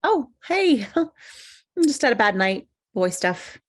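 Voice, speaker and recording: A woman's voice: on the high side, big pitch swings, takes it slowly, heavily acted. A woman playing a hapless, defiant single woman in a comedy table read, conversational and falsely breezy. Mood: awkward.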